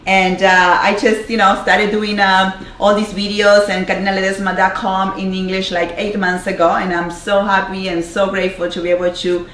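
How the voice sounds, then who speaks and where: A woman's voice: medium pitch, flat delivery, moderate pace, few pauses, fairly bright tone, reverberant, a little hiss or hum. A woman from Argentina with a strong Argentine Spanish accent, giving an earnest motivational talk as a life coach on a livestream, with lots of fillers and repeated words. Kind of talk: motivational Facebook Live talk. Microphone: camera mic on a livestream.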